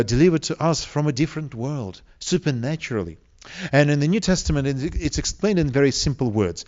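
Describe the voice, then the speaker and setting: A man's voice: medium pitch, a little mellow. Russian-accented male preacher, muffled recording. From Siberia, Russia. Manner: deliberate, rhetorical questions, emphatic pauses. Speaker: preacher, evangelistic seminar speaker. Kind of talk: sermon to a live audience.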